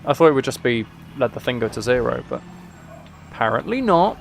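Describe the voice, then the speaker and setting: A man's voice: medium pitch, big pitch swings, brisk, gravelly, a little hiss or hum. A man giving casual, playful gameplay commentary, often talking to himself. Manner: playful, talks and sings to himself. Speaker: game streamer.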